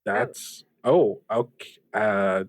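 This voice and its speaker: A man's voice: fairly low pitch, big pitch swings, extremely slow, vocal fry, heavily acted. A man playing an affable, flustered radio show host in an improvised interview, stammering and awkwardly polite, with a curious manner.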